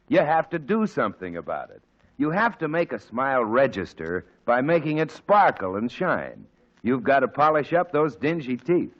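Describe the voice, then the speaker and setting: A man announcing in the smooth, persuasive style of an old radio show. His pitch rises and falls a lot, and the sound is dull like an old broadcast. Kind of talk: scripted radio announcing and advertisement.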